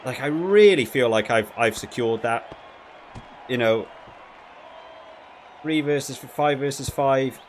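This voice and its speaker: A man's voice: medium pitch, some chatter behind. Man thinking aloud over gameplay, background noise. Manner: thinking aloud, critical, halting with repeats. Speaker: gaming video creator reviewing while playing. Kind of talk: casual gameplay commentary.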